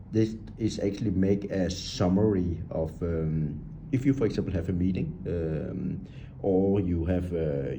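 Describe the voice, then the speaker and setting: Deep, very dark-voiced man explaining an app. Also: slight background noise. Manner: halting and talkative, pointing at screen items, non-native grammar. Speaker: app tutorial presenter. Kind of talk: unscripted screen-recorded app walkthrough.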